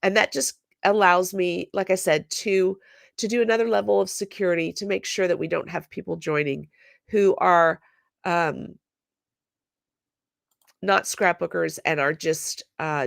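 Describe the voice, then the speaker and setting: A woman talks to her viewers in a slow, unhurried, chatty way, her voice stuffed up from a cold. Her tone sits a little low, with a gravelly fry in it. The sound is dry and close, with no room echo. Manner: chatty, apologetic, lots of fillers. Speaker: scrapbooking channel host. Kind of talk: casual chat to viewers.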